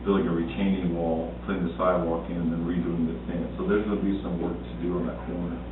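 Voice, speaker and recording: A man's voice: low-pitched, little pitch movement, fast. A man, a town manager, explaining budget and sidewalk repairs at a town board meeting, in a plain-spoken way with many fillers and restarts. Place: council meeting room. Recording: echoey, distant, phone-like, noisy meeting recording.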